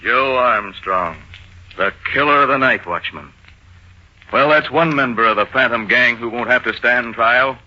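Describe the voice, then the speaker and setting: An actor playing a stern, indignant police chief, gruff and emphatic, in an old-time radio crime drama. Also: male voice, medium pitch, a little slow, bright, forceful tone. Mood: grim. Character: stern, indignant big-city police chief, adult man. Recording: muffled, noisy vintage radio recording.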